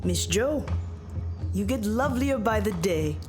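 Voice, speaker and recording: An actress playing a motherly, watchful older island matriarch who speaks in a measured, gently commanding way in an audio drama. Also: medium pitch, animated, close to an everyday voice. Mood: warm, knowing. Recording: music under the dialogue.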